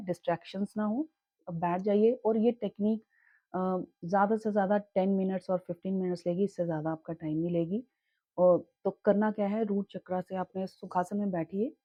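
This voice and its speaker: A young woman teaching in a calm, reassuring way, with a sore throat that makes her clear it often. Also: medium pitch, no echo, muffled recording. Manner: calm, reassuring, mixes English words into her talk. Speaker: chakra healing teacher. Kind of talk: casual spoken tutorial.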